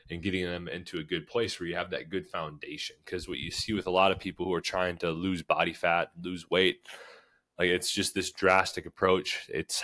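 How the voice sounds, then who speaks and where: A young man co-hosting a casual podcast, nasal and stuffed up from a cold, talking loosely with lots of "like" and "right?". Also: low voice. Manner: relaxed, repeats "like" and "right?" often. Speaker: podcast co-host.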